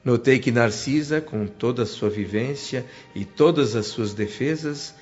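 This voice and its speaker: A man's voice: mid-range voice, a little slow, darkish tone, highs cut off, soft background music, close to an everyday voice. A man playing a thoughtful, shaken doctor who narrates a radio drama in a solemn, reflective way. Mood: troubled. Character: shaken, thoughtful male doctor narrating his spiritual journey. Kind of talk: dramatized first-person narration.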